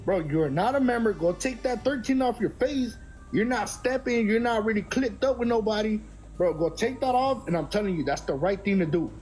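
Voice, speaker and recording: A man's voice: sits high, animated, normal speed, a little mellow. A man giving a blunt, insistent street-slang lecture, repeating himself. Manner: insistent, repetitive.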